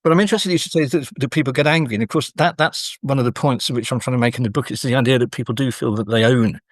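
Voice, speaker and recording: A man speaking as a scholarly interview guest, explaining in a thoughtful, halting way with frequent pauses mid-sentence. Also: mid-range voice, no room sound. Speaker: guest academic and author.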